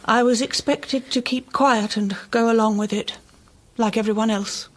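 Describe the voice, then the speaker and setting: An actress playing a haunted, guarded older woman in a radio drama, husky and hesitant in her speech. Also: fairly high pitch, steady tone, moderate pace, close to an everyday voice. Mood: regretful.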